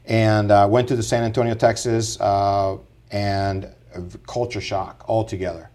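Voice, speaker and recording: A man's voice: on the low side, measured pace. Earnest Puerto Rican-born man telling his story. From Puerto Rico. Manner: earnest, animated, rising in energy as he tells his story. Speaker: podcast guest, speaker. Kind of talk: casual interview storytelling.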